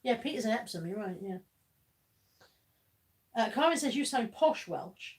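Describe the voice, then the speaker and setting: A woman's voice: on the high side, expressive, moderate pace. A woman hosting a live stream in a casual chatty way, reading out viewer comments, laughing often and talking bluntly. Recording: voice somewhat far from the mic.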